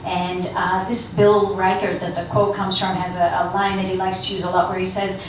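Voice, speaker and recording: A woman's voice: mid-range voice, flat delivery, normal speed, background noise. A woman speaker leading an interactive workshop, asking the audience questions as she talks. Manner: engaging, asks the audience questions. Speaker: guest speaker on elevator pitches. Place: large echoey lecture room. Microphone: handheld mic from a distance. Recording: echoey room recorded from far off.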